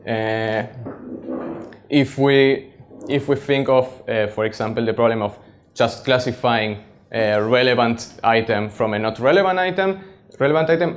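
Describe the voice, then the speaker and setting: Argentine-accented man giving a halting tech talk. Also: medium pitch. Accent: Argentine Spanish. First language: Spanish. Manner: halting, frequent pauses, plain explanatory. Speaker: conference speaker, data scientist. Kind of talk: technical meetup presentation. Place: meetup stage before an audience.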